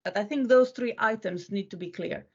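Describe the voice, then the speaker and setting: A woman's voice: mid-range voice, moderate pace, creaky, dull audio, a crowd in the background. A woman explaining her points methodically in a casual panel discussion.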